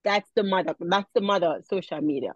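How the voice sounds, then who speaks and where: A woman with a Jamaican accent, chatting as a guest in a casual interview, animated and laughing often. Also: lowish voice, fast talker, no echo, muffled recording. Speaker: guest, mom influencer.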